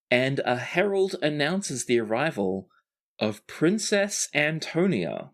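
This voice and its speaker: A game master improvising narration for a tabletop role-playing game in an animated storytelling manner. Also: medium pitch.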